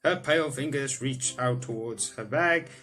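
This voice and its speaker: A young man hosting a gaming video, reading the game's text aloud and chatting about it with restarts and quick laughs. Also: medium pitch, bright, forceful tone, soft background music. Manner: chatty, self-correcting, breaks into laughs and reactions. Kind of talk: let's-play reading game text aloud with comments.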